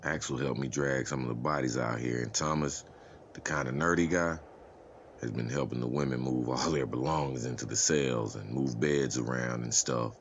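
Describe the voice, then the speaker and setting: A man's voice: extremely low pitch, steady tone, normal speed, slight background noise. A man playing an easygoing, jokey survivor chatting casually in a dramatized comic. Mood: casual report. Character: easygoing, joking male survivor reporting on prison chores. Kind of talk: dramatized comic-book voice acting.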